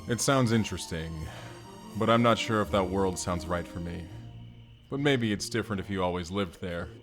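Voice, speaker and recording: An actor playing a hulking, earnest, gentle barbarian man in an audio roleplay, speaking formally and haltingly. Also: sits low, over music. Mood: wistful, tender. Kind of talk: first-person audio roleplay acting.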